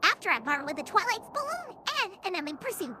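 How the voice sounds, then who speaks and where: A woman's voice: very high voice, very animated, fast talker. A synthetic female voice playing a bubbly young cartoon pony detective in a fan audio drama. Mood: dry, exasperated. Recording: clear background noise, clicks.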